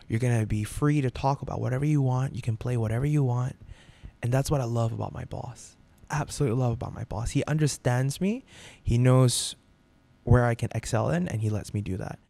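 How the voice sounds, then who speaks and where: A man working as a radio DJ, chatting as a podcast guest in an animated, expressive way. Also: mid-range voice, brisk, vocal fry, no room sound. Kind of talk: casual podcast interview.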